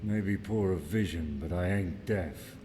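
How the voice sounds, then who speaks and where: An actor playing a roguish, wry axe-wielding mercenary in a fantasy game, talking in cheeky, colloquial banter. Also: male voice, unhurried. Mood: wry, teasing. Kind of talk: fantasy video game dialogue. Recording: noisy rumbling background.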